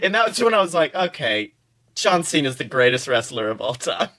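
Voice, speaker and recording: A man hosting a casual comedic podcast, telling stories in an animated way, swearing freely and acting out quotes. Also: fairly high pitch, moderate pace. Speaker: podcast host.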